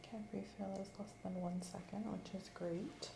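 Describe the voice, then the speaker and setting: A woman in an ASMR roleplay as a nurse, giving calm, gentle clinical instructions and sometimes dropping into a whisper. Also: mid-range voice, moderate pace, noisy background. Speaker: ASMR creator playing a nurse. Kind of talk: ASMR medical examination roleplay. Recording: roomy echo with clear background ambience.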